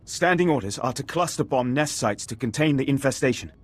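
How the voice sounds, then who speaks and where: An actor playing a brisk, alert imperial communications officer in a video game, with a military manner. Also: male voice, medium pitch, expressive, natural voice. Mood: brisk, formal.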